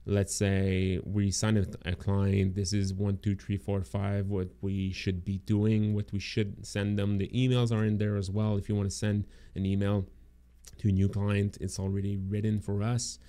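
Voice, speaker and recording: Man in his thirties, low mellow tone, tired throaty voice, casual filler-heavy livestream pitch. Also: flat delivery, normal speed, smooth. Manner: rambling, many fillers and restarts. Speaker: agency owner presenting course bonuses. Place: home room indoors. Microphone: close studio mic in frame.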